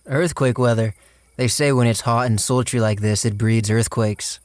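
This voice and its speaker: A man acting a guilt-ridden, fatalistic plotter who tells his story in a radio drama, somber and deliberate. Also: medium pitch, fairly even intonation, dry recording, lightly acted. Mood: uneasy. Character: Clyde, guilt-ridden, fatalistic man narrating a murder plot. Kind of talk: dramatic first-person radio drama narration.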